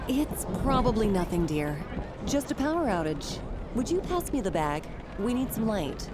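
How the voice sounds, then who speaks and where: An actress playing an adventurous, middle-aged museum curator and mother in a game, measured and articulate. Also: mid-range voice, sing-song, a loud crowd, heavy background noise, natural voice. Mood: calm, reassuring. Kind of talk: video game acting, dramatic presentation. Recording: very loud music behind the voice.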